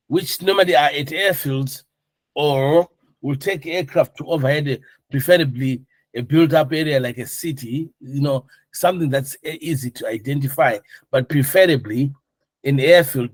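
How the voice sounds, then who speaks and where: Higher-pitched man teaching a class. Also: no echo, low-quality recording. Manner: informal, explanatory, checks understanding with questions. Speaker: instructor. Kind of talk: classroom lecture with questions to students.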